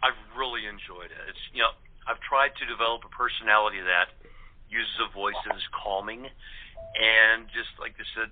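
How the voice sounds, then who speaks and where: A man's voice: lowish voice, fairly even intonation, normal speed, slight background noise. A man, an actor appearing as a guest, chatting casually in an interview. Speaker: radio play actor as guest. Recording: narrow, tinny telephone line.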